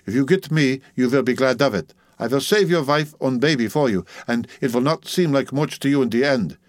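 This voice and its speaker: A man's voice: mid-range voice, a little fast, no room sound, clean studio sound, voice pushed up, natural voice. A man voicing an enormously fat, hard-bargaining Dutch midwife woman who haggles bluntly in broken English. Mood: persuasive.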